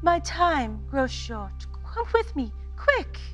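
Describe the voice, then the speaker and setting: A woman's voice: high-pitched, big pitch swings, slow, a bit bright, faint music behind, heavily acted. A woman playing a solemn, commanding spirit in a radio play, speaking in a stately, formal way. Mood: probing.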